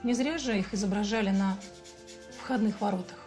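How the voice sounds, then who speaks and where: An actress playing a patient, playful mother in her forties with a Russian accent, gently explaining things to her child in a TV sitcom. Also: mid-range voice, normal speed, soft background music, lightly acted. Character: bilingual mother, patient, fond of teaching. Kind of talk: television sitcom acting.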